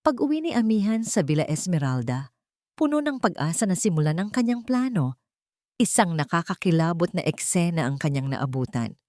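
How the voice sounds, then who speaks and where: A Filipino woman narrates a dramatic story. Her voice sits a little low and moves widely in pitch as she reads. The sound is dry, with hardly any room in it. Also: very animated, normal speed, darkish tone, no echo.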